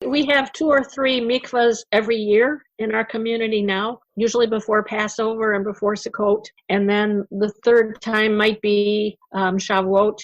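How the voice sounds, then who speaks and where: A woman's voice: mid-range voice, flat delivery, moderate pace, hardly any pauses, no room sound, muffled recording. A woman guest giving an earnest, reflective testimony in a conversational interview, now and then laughing lightly. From United States. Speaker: interview guest, ministry founder.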